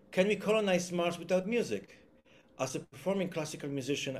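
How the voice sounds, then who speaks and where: A man's voice: on the high side, slight background noise. A man with a Polish accent giving a talk as a concert musician, hesitant with many fillers and restarts, partly reading a prepared text. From Poland. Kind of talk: presentation, partly read aloud.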